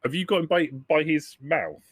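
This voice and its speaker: A man running a tabletop roleplaying game, improvising narration in a halting way, often repeating and rephrasing his words. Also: on the high side, very animated, husky, no room sound, studio quality. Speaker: game master.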